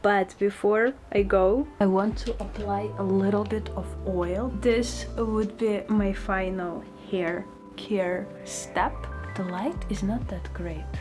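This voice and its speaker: A young woman with a Russian accent talks through her day in a relaxed, chatty vlog voice at a middling pitch. Her English comes easily but with small grammar slips. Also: slow, few pauses, over music. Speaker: lifestyle vlogger. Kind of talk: casual vlog narration.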